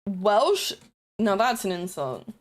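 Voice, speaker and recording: A woman's voice: mid-range voice, big pitch swings, takes it slowly. A woman with a New Zealand accent, chatting casually and rambling on a live stream while she builds models, self-deprecating and swearing now and then.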